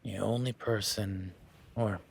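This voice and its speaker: A man's voice: lowish voice, fairly even intonation, slow, creaky, husky, exaggerated voice. A man playing a rugged, easygoing cowboy rancher who teasingly sizes up a new ranch hand, speaking straight to the listener in a laid-back way. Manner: teasing, laid-back, talks softly to his horse. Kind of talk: first-person audio roleplay aimed at the listener.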